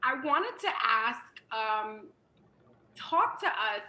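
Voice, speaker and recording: A woman hosting a casual show, talking in an excitable, playful way. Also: on the high side, takes it slowly, reverberant, a little hiss or hum. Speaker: podcast host. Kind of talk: casual chat.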